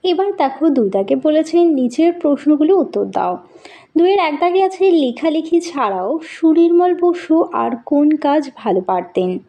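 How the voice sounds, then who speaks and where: A woman teacher giving a scripted school lesson to young pupils, speaking in a deliberate, instructive way. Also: high voice, lo-fi sound. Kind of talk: scripted lesson narration with question-and-answer and poem recitation.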